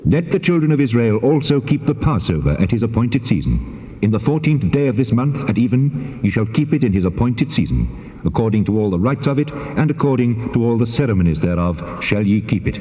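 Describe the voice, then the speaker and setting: An actor playing God as a solemn, commanding deity giving laws in a stately, measured dramatized scripture reading. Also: male voice, medium pitch, sing-song, warm tone, lightly acted. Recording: dull cassette tape, long cavernous reverb.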